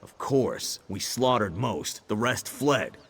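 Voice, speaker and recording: A man playing a gruff, hostile male orc guard in a fantasy video game, speaking bluntly and menacingly in a rough voice. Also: lively intonation, slow pace, a bit bright, heavily acted. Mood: gruff, boastful. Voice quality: husky, rough low voice.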